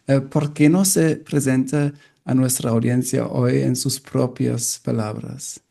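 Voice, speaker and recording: Male interview host, hesitant delivery, heavy vocal fry, mellow dark tone. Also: medium pitch, steady tone, takes it slowly. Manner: hesitant, apologetic about his Spanish. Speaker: podcast host. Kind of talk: interview hosting.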